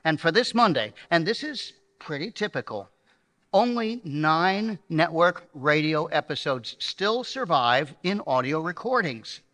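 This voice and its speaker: A man's voice: fairly high pitch, expressive, measured pace, bright, forceful tone. A man presenting a live show to an audience as a member of a radio troupe, with emphatic, showman-like phrasing. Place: live stage at a banquet hall. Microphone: stage microphone. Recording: reverberant hall sound.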